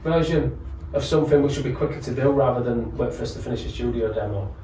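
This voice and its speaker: A man's voice: medium pitch, fast talker, mellow. A man chatting casually as a podcast guest, telling anecdotes with jokey, sweary banter. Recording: roomy, distant mic with faint noise.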